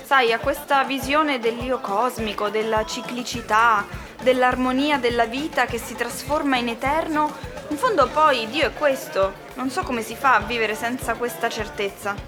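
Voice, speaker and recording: High, gushing, earnest woman acted. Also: normal speed, lightly acted. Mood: gushing, dreamy. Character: Anna, excitable, earnest mystical woman party guest. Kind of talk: radio drama acting. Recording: music behind the voice.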